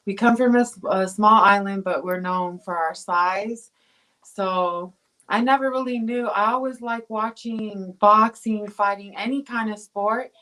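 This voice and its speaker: A woman's voice: mid-range voice, fairly even intonation, measured pace, a bit bright, low-quality recording. A woman from Tonga, a guest pro boxer, talking casually in an interview, with rambling phrasing full of fillers and restarts.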